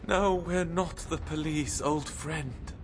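Male: tactful, soothing radio-play friend, fairly high voice, light noise behind. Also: takes it slowly. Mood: calm, tactful. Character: calm, reassuring English gentleman friend. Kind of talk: radio drama acting.

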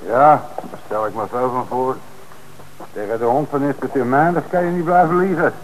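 An actor playing an eager police detective who talks in a conversational, enthusiastic way in a radio drama. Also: male voice, mid-range voice, moderate pace, a little hiss or hum, lightly acted, rough recording.

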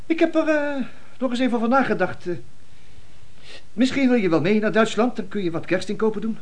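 A man's voice: high-pitched, expressive, a little fast. A man playing a flustered middle-aged father in a radio play, stammering and hesitant yet bossy. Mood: awkward.

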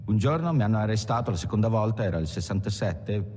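An actor playing a world-weary career criminal, speaking in a halting, understated film monologue. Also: male voice, lowish voice, animated, loud music behind the voice, close to an everyday voice. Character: world-weary male career criminal recalling arrests and prison. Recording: long hall reverb, faint background noise.

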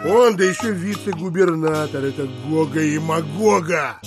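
A man acting a high-pitched, blunt, scornful landowner. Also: moderate pace, music in the background, close to an everyday voice. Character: gruff, blunt, misanthropic burly landowner, a sturdy host. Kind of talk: Russian literary audio drama acting.

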